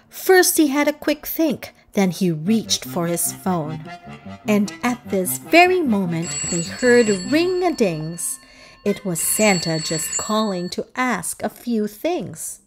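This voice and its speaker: Female children's book narrator: expressive verse reading, unhurried pace, lively pitch, music underneath. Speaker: storybook narrator. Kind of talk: children's read-aloud, scripted narration.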